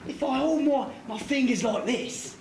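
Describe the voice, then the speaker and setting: A woman plays a bumbling pantomime gangster, high and very animated. The henchman explains like a know-it-all, a little exasperated. The sound is echoey, with clear background noise. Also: moderate pace, far from the mic. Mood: explaining. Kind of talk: amateur pantomime double act. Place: stage in a hall, recorded from afar. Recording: echoey hall, noisy old camcorder tape.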